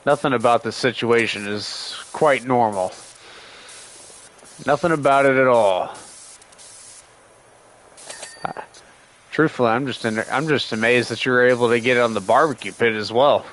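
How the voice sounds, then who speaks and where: A man gives loose, sarcastic running commentary while he streams a game, griping at its characters as if they could hear him. His voice sits at an ordinary middle pitch, and he is still shaking off a cold that has left him with a lingering cough. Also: moderate pace, fairly bright tone, slight background noise, low-quality recording. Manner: sarcastic, grumbling, talks back to the game's characters. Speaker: gaming streamer. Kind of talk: casual livestream game commentary.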